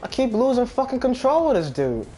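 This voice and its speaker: A man's voice: extremely high pitch, big pitch swings, fairly quick, warm tone, faint music behind. A man casually commentating on a video game as he plays, grumbling and swearing. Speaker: gamer commentating on own play.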